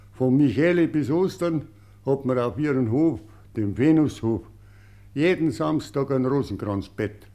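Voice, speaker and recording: A man's voice: medium pitch, dark tone, close to an everyday voice. An actor playing a plain-spoken male farmer with a Bavarian accent giving earnest testimony in a radio play. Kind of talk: German radio play monologue in dialect.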